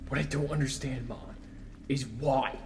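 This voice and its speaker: Guy playing a hurt bitter son laying into his mom, audio drama, slow and halting, mid pitch, bit of noise. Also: steady tone, a little mellow, close to an everyday voice. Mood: bitter, accusing. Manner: accusing, halting, emphatic. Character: wounded, bitter son confronting his mother. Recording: faint background noise.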